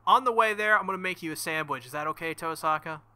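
Young male let's-play host; bright, slightly high, excitable and crude. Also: quick pace, clean tone. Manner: excitable, swings to loud outbursts; profane, joking. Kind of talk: visual novel playthrough with commentary.

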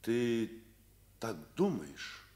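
A guilty middle-aged father, hesitant and defensive. His mid-pitched voice swings widely as he pleads, in the style of Soviet radio drama. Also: huge pitch swings, extremely slow, a little mellow, heavily acted. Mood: pleading. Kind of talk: Soviet radio play acting.

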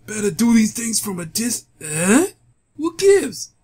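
A man's voice: high voice, very animated, takes it slowly, a little mellow, breathy edge, exaggerated voice. A man voicing a squeamish, nervous henchman in a comic book audio drama, whiny and anxious. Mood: squeamish grumbling.

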